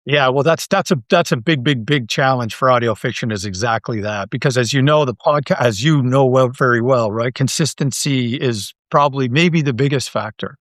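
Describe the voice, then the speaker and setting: A man's voice: mid-range voice, normal speed, hardly any pauses, fairly bright tone, no echo, clean studio sound. A man speaking casually as a podcast guest and creator, self-deprecating and often repeating his words. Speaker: interview guest, audio drama creator.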